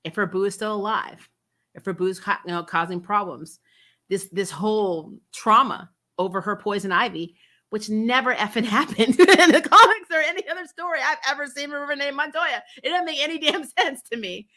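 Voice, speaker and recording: A woman's voice: a little high, normal speed, pushed and bright. A woman chatting casually as the host of a fan review show, sarcastic and incredulous, blunt with swearing and prone to laughing as she talks. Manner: laughs often while talking. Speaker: host of a TV superhero recap show.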